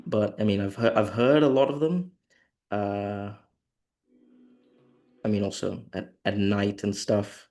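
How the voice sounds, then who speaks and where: A man from Thailand co-hosting an online workshop, chatting in a relaxed, frank way over a video call. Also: lowish voice, slow pace, long pauses. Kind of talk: casual online session chat.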